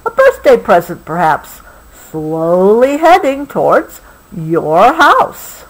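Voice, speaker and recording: An American woman reading a picture book aloud to a child, expressively, pausing patiently to explain things in a casual way. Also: medium pitch, huge pitch swings, low-quality recording.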